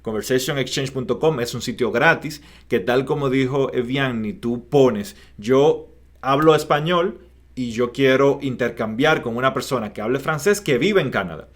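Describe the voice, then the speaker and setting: A man hosting an interview, asking questions and explaining in a measured way. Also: mid-range voice. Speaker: host, interviewer.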